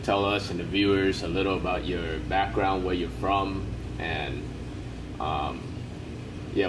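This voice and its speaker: A man hosting a podcast interview in a casual, easygoing way, hesitating with many ums. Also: low-pitched. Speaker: podcast host. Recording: noisy room recording.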